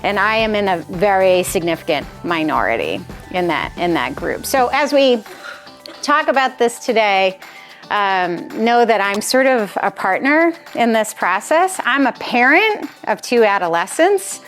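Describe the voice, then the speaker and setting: A woman's voice: medium pitch, lively intonation, measured pace, fairly bright tone. An American woman in her forties presents in an informal, conversational way. She has a mid-range voice and a self-deprecating touch, with music in the recording. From Massachusetts, United States. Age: 40s. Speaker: presenter, nonprofit president. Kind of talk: conversational presentation to a small group.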